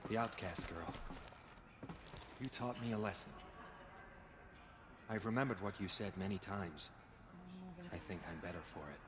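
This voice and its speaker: A man playing a humbled, gruff tribesman who makes quiet, grudging admissions. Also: deep voice, a little slow, long pauses, very noisy.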